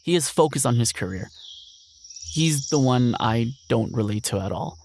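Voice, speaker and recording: A man's voice: mid-range voice, expressive, normal speed, darkish tone, slight background noise, close to an everyday voice. A young man playing an earnest, lonely ranch heir, speaking a weary, reflective monologue in an audio drama. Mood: resigned.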